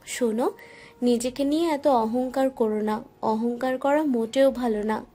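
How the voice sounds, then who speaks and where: Sassy young wife, scolding, high mellow voice, woman voice actor, soft music. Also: unhurried. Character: sharp-tongued young wife, quick to bicker.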